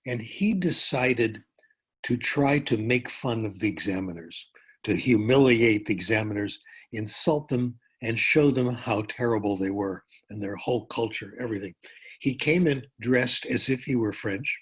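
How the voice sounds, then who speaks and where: A husky-voiced older man speaks at a middle pitch, giving a conference lecture. He tells relaxed anecdotes, often breaking off for asides and correcting himself as he goes. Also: normal speed. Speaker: professor giving a conference talk. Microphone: remote talk over a conference call.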